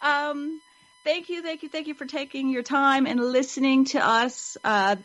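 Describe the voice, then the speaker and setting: A woman's voice: high voice, steady tone, a little slow, fairly bright tone, lo-fi sound. A woman co-hosting a fan web show in casual chat, with a rambling, informal, upbeat manner.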